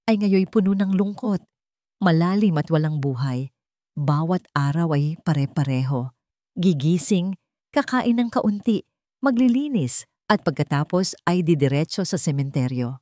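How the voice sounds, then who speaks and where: Female storyteller, lower register, melodramatic scripted delivery, close dry recording. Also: animated, unhurried, mellow, no echo. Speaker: story narrator. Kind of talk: dramatic scripted narration of a romance story.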